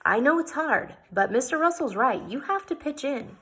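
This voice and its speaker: Brief: woman voicing a steady frontier man, patient and plain-spoken. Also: high-pitched, moderate pace, slight background noise, pitched above the performer's own voice. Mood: firm. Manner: patient, matter-of-fact.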